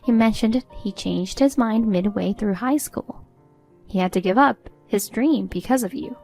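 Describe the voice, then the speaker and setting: A woman's voice: medium pitch, a little slow, vocal fry, a little mellow, soft background music. A woman playing a defiant, sarcastic adult daughter-in-law in a story, composed and matter-of-fact. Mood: firm.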